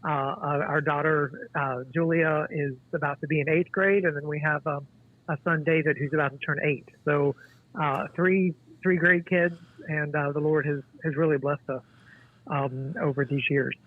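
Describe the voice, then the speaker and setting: A man's voice: a little high, fairly even intonation. Man in his forties on a tinny phone line. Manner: halting, thoughtful, many restarts. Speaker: guest author. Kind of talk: casual interview conversation.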